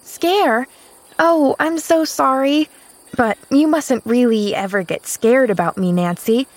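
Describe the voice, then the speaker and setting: A woman's voice: high voice, slow pace, voice pushed up. A woman voicing an earnest, carefree little girl in an audiobook, sweet and chatty. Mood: apologetic, reassuring. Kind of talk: audiobook character voice.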